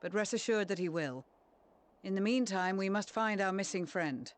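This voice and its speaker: An actor playing a calm leader in a game who reassures others while tending the sick. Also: male voice, sits high, moderate pace. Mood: reassuring.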